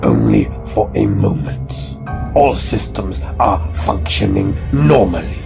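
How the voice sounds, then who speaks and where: Very gravelly menacing alien warlord. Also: male voice, low-pitched, huge pitch swings, slow, music in the background, heavily acted. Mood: suspicious. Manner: stern, commanding. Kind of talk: audio drama acting.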